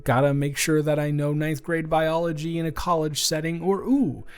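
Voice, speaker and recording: A man's voice: on the high side, normal speed, smooth, natural voice. Chatty young college guy, acted by a man, music behind. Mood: wry, joking. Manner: rambling, animated, hesitant casual speech. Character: friendly, awkward, chatty young male college student, smitten dormmate. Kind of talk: roleplay audio acting, casual conversational monologue to listener.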